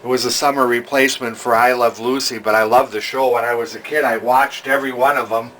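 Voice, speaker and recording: An older man giving a casual, unscripted hobbyist demonstration, talking in a folksy, halting way as he thinks aloud. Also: medium pitch, fairly even intonation, bright, forceful tone, a little hiss or hum, rough recording. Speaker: hobbyist demonstrating a vintage television he repairs.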